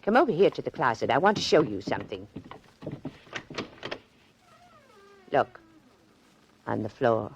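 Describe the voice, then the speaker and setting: An actress playing an eccentric, plucky older woman in a 1940s American radio drama, brisk, prim and forthright. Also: very deep voice, sing-song, background noise, exaggerated voice. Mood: secretive, grave. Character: brisk, no-nonsense old spinster lady, eccentric and plucky. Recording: dull, band-limited vintage radio recording.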